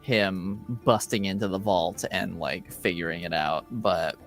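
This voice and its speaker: A man acting as game master, talking through improvised tabletop roleplay in a deliberate, hedging way with his players. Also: deep voice, fairly even intonation, faint music behind.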